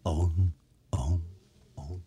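Dark, husky, very low male voice. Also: fairly even intonation, very slow. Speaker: radio show co-host. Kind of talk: casual banter with dry interjections.

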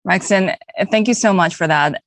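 A woman's voice: on the low side, normal speed, no room sound, clean studio sound. A woman in her forties who grew up in Bolivia and speaks with a hard-to-place accent, chatting in a friendly way as a podcast co-host and news anchor. She laughs easily while she talks. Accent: hard-to-place mixed accent. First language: Spanish and Korean. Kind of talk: conversational podcast chat.

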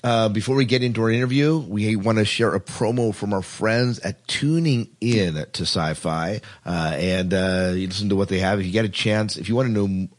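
A man's voice: lowish voice, moderate pace, few pauses. A man hosting a podcast in a casual, informal, rambling way. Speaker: podcast host.

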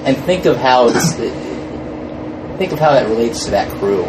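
A man's voice: mid-range voice, moderate pace. A man chatting casually as an interview guest, hesitant, often repeating words and trailing off mid-phrase. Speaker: podcast guest, fan film crew member. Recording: noisy room with steady background hum.

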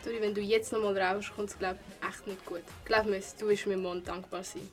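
A woman's voice: medium pitch, steady tone, brisk, lightly acted. A woman playing an easygoing, caring young friend at a party in a contemporary radio play. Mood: concerned.

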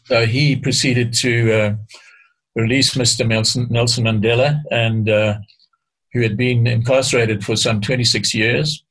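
An older man from Africa, explaining history at a measured pace with frequent hesitations and restarts as an interview guest. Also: medium pitch, fairly even intonation, fairly bright tone, slightly rough, low-quality recording.

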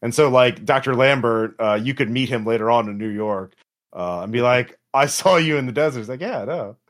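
A man who speaks as game master in a casual post-game explanation, haltingly, trailing into pauses and swearing now and then. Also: medium pitch. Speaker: game master of a tabletop role-playing podcast.

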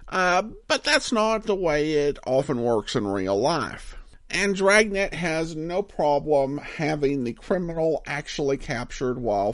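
Male podcast host, lively intonation, hesitant explanatory commentary, close dry recording. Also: a little high, no room sound. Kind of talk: casual episode commentary.

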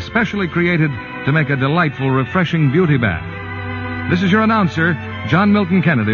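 A man's voice: on the high side, dull audio. 1940s radio announcer man, music behind.

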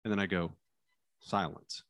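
A man with a lowish, loud, carrying voice, recorded close and dry with no room sound. He talks casually about courtroom practice as a lawyer. He animates his stories and acts out lines, sinking his voice down low for effect. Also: steady tone, measured pace, no room sound. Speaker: criminal defense lawyer.

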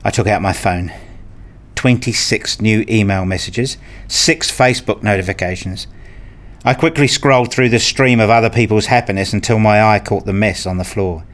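A man's voice: fairly low pitch, moderate pace. A man narrating a scripted radio play in the first person.

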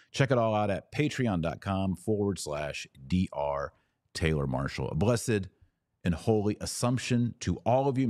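A man with a low-pitched voice speaks as a podcast host and teacher. His delivery is conversational and earnest, explaining as he goes. The recording is dry and close, with very little room sound. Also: a little mellow, no room sound. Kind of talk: casual teaching podcast talk.

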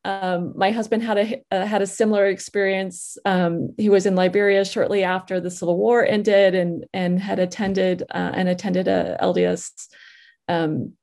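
A woman guides a conversation in a mid-range voice, pausing and restarting often as she frames her thoughts. Her delivery is casual and exploratory. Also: medium pitch, flat delivery, creaky, darkish tone. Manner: halting, searching for words. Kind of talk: conversational discussion.

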